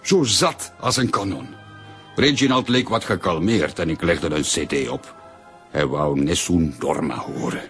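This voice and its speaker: Radio serial suspect: disdainful, emphatic, low-pitched music teacher, faint music behind. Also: male voice, lively intonation, measured pace. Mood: indignant. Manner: scornful, emphatic short phrases. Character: disdainful male music teacher, failed pianist, under questioning. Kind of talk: radio drama dialogue.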